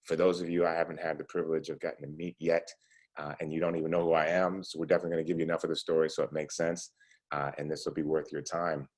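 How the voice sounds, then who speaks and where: Man, forties, very low husky voice; relaxed motivational speaker. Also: fast. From United States. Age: 40s. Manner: conversational, lots of "you know" and "right?". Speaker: entrepreneur guest speaker. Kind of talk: casual talk to students.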